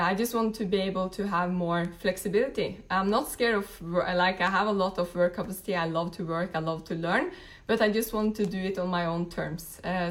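A woman, talking casually on a live stream as a network marketing team leader, rambling with many fillers and restarts. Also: lowish voice. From Norway. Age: late 20s. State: a bit tired, just woken up.

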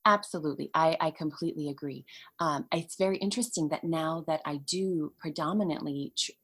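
A woman's voice: sits low, a little fast, fairly bright tone, breathy edge. A woman whose first language is Hungarian, a language education trainer explaining her experience in an enthusiastic, articulate way as a podcast guest. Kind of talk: conversational podcast interview.

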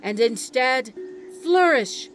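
A woman playing a solemn leader of an island ceremony in an audio drama, with measured, proclaiming delivery. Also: high-pitched, lively intonation, music in the background. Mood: solemn, reverent.

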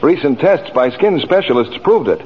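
A man's voice: a little high, animated, normal speed. A man reading scripted copy as a 1940s radio commercial announcer. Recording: muffled, noisy old radio recording.

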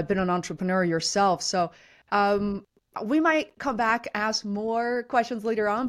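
Woman hosting a podcast interview. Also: mid-range voice. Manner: reads a prepared introduction, then asks questions in a friendly way. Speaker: podcast host.

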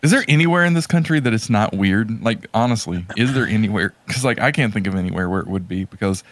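A man's voice: lowish voice, expressive, quick pace, dry recording. A man in casual chat as a podcast guest, rambling and slangy with a jokingly exasperated manner.

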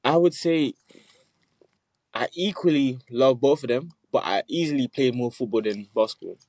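A man's voice: mid-range voice, normal speed, darkish tone, dry recording. A man from Nigeria, a musician guest, talking laid-back and laughing often. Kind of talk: casual interview chat.

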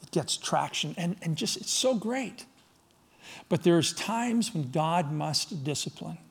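A middle-aged man from Scotland preaching a sermon to his congregation, firing rhetorical questions, swinging from loud emphasis to soft, low asides. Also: lively intonation, slow pace, vocal fry, slightly rough. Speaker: pastor.

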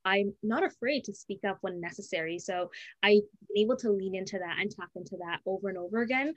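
Fast-talking earnest woman, dry sound. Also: mid-range voice, no echo, dull audio. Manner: earnest, fast, with frequent restarts and self-corrections. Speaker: interviewee, student advisor. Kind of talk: conversational interview answers.

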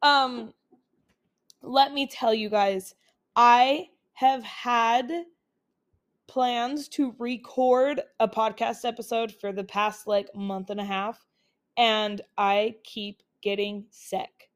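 A woman's voice: a little high, steady tone, takes it slowly. Chatty young woman on a laptop mic. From Utah. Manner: chatty, rambling, upbeat with frequent fillers. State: voice still recovering from colds. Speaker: podcast host. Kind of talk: casual solo life-update podcast. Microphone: laptop built-in mic.

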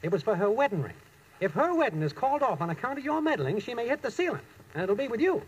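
An actor playing a shifty, flippant, slangy loafer boyfriend in a 1940s radio comedy. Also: male voice, very high voice, very animated, fast talker, dull audio. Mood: ominous, flippant. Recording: noisy old radio recording with clicks.

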